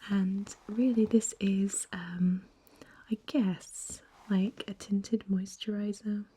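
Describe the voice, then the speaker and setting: Young woman, soft-spoken ASMR, very breathy whisper, slightly hoarse and croaky, soft dark tone, gentle, hesitant. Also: medium pitch, fairly even intonation, slow. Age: young adult. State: slightly hoarse after illness. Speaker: ASMR vlogger.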